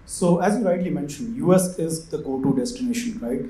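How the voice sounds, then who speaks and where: Guy on a conference panel explaining stuff, dark warm tone, lots of room echo. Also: on the high side, fairly quick. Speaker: panelist, investment visa specialist. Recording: echoey room sound.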